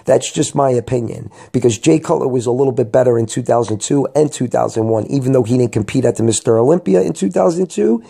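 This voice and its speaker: A man with a voice hoarse from a cold, giving emphatic, colloquial commentary as an enthusiastic vlogger. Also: mid-range voice, fast, few pauses, dark tone.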